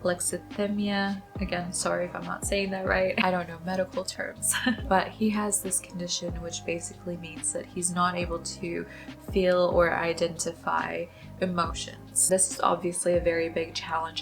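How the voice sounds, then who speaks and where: A young woman chatting casually to camera as a book vlogger, upbeat and quick to laugh. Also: on the low side, creaky, low-quality recording.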